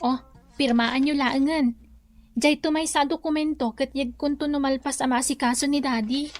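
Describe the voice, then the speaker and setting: A woman's voice: high-pitched, steady tone, moderate pace, soft background music, lightly acted. An actress playing a helpful, respectful young woman in an Ilocano radio drama, politely explaining things with an Ilocano accent. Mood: reassuring. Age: young adult.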